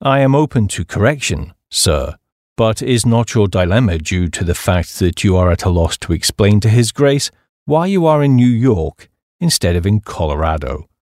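Man acting unflappable English butler, low voice. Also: big pitch swings, darkish tone, no room sound, clean studio sound. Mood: calm, deferential. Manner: formal, measured, courteous. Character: unflappable, deferential English butler, formal and precise.

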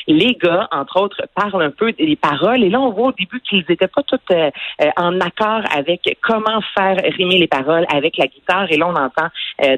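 A woman with a Québécois accent giving a lively, chatty culture segment on the radio. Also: deep voice, no room sound, low-quality recording. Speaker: culture columnist.

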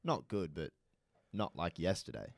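A man's voice: low voice, unhurried, creaky, no echo. Crude, swearing young man. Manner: crude, swearing, animated storytelling. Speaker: podcast co-host. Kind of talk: casual chat.

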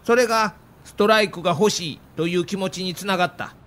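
A seasoned baseball pundit with a Kansai accent, who walks through his reasoning in a plain, matter-of-fact way. His voice sits fairly high for a man. He sounds calm and knowing in this acted audio documentary. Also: fairly quick. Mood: explaining.

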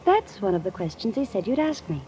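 Woman playing a lively, coy young socialite. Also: mid-range voice, brisk, close to an everyday voice. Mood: coy, playful. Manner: playful, coy, breezy. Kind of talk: 1940s radio acting. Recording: muffled, noisy old radio recording.

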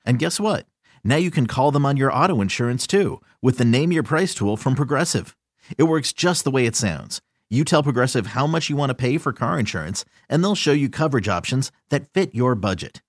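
A man's voice: medium pitch, lively intonation, a little fast, dry recording. A man announcer reading a scripted podcast advertisement. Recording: close, dry studio sound.

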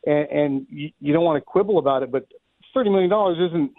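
A man's voice: on the high side, quick pace. A middle-aged man giving conversational, wryly humorous sports analysis as a play-by-play announcer guesting on a radio show. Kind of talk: unscripted sports analysis in a live radio interview. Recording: roomy, thin narrow-band phone line.

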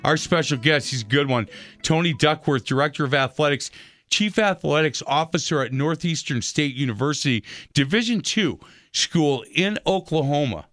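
A man's voice: a little high, animated, moderate pace, a bit bright, no echo. A man hosting a sports radio talk show, upbeat and jokey with his guests. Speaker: radio host.

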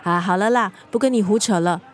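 An actress with a Singaporean accent playing a nosy, bossy best friend in a naturalistic radio drama, speaking in a playful, probing, wry way. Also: female voice, medium pitch, fairly quick, lightly acted.

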